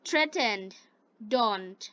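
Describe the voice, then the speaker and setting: A woman narrating slowly as an English teacher, reading scripted material for learners in a dull, lo-fi recording. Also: mid-range voice, lively intonation, sharp tone, no room sound.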